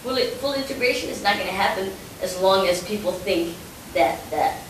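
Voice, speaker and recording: A woman's voice: mid-range voice, lively intonation, normal speed. A young woman raised in Finland, talking reflectively with lots of "you know" and repeated starts. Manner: many fillers, "you know", hesitant repeats. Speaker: interviewee. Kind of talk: reflective casual talk. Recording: echoey, distant mic with hiss.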